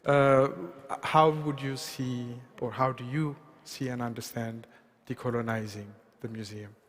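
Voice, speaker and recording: A man moderating a panel discussion, speaking slowly and deliberately. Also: mid-range voice, fairly even intonation. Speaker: moderator, professor. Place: large museum event hall. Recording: reverberant hall with audience.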